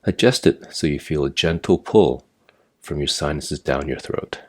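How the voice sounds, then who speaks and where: A man narrating a guided meditation as an instructor, giving measured, step-by-step directions. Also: a bass voice, a little hiss or hum. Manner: measured, imperative step-by-step guidance.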